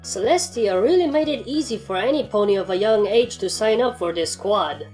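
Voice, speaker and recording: Hard-bitten, sardonic storybook pony instructor, acted by a woman, blunt delivery, mid pitch, music behind. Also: expressive, moderate pace, a little mellow, lightly acted. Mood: dry, grumbling. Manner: dry, blunt, sarcastic. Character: blunt, sardonic, battle-hardened pony trainer.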